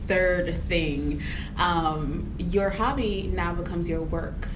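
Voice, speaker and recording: A young woman with a Trinidadian accent talks through her advice in a reflective, casual way, breaking into light laughs. Her voice sits a little low. The room is echoey, with a clear rumble underneath. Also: takes it slowly. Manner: reflective, laughs often between points. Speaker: vlogger. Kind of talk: casual talking-head advice.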